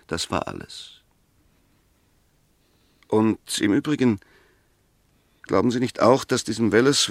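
An actor playing an insistent, reasoning defense barrister in a 1960s German radio play, arguing rhetorically and emphatically. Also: male voice, mid-range voice, dry recording, close to an everyday voice.

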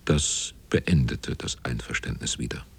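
An actor playing a grave seafarer who narrates a radio drama in measured, terse literary phrases with a husky voice. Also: male voice, full character voice. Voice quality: very deep, husky. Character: grave seafarer recounting a hard voyage.

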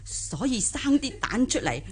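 An actress playing a naive, jolly old country granny in a radio drama, with folksy, exclamatory delivery. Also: female voice, high-pitched, very animated, a little slow, breathy edge, full character voice. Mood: wonderstruck.

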